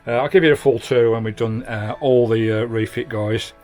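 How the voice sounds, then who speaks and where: British male vanlife vlogger, mid-pitched, casual and chatty, slangy matey delivery, music in the background. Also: steady tone, normal speed, a little mellow. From United Kingdom. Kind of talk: casual hands-on video tour and fitting commentary. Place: inside a small campervan.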